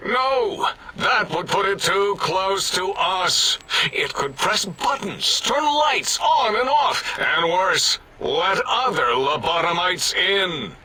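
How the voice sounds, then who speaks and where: A paranoid machine scientist from a video game, raspy and forcefully sharp. He declaims in slow, halting, grandiose phrases, with a pompous and irritable temper. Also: sits high, expressive, exaggerated voice, poor audio. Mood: alarmed, indignant. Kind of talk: video game character acting.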